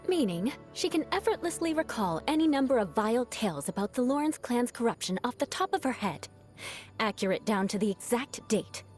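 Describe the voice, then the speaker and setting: A woman's voice: mid-range voice, close to an everyday voice. Haughty young noblewoman knight, voiced by an actress, over music. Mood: grudging, wistful. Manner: haughty, formal, theatrical. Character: proud young noblewoman knight, vengeful yet soft-hearted. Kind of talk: video game character voice lines. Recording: music behind the voice. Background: background music.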